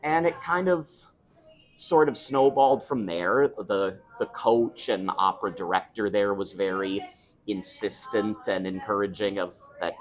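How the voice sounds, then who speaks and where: A man's voice: fairly low pitch, a little slow, rough recording. A young man who sings opera, chatting thoughtfully in an interview at a restaurant. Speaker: opera singer, guest. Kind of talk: casual interview chat.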